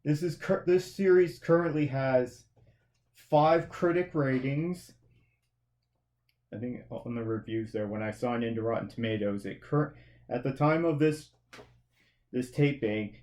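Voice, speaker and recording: A man's voice: mid-range voice, a little mellow. A man from Canada talks through his movie and TV picks in a loose, chatty podcast review. He rambles and corrects himself mid-sentence, circling back to finish his thoughts. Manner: rambling, self-correcting, chatty with frequent restarts. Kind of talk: casual review chat.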